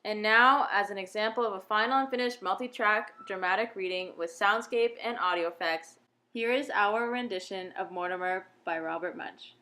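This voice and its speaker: A woman's voice: medium pitch, normal speed, sharp tone. A woman presenter delivering a scripted introduction.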